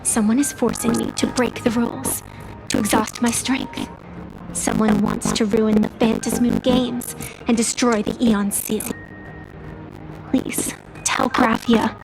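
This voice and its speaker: A woman's voice: fairly high pitch, level tone, measured pace, over music, low-quality recording. An actress playing a playful, theatrical young woman with secret powers in a game, with a dramatic delivery. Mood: grave, urgent. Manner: teasing, dramatic. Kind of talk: scripted game dialogue.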